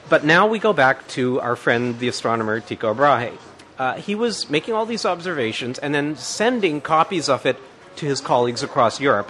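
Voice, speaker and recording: A man's voice: medium pitch, a bit bright, a little hiss or hum. A man answering as a guest expert, explaining in a thinking-aloud way with many restarts and fillers.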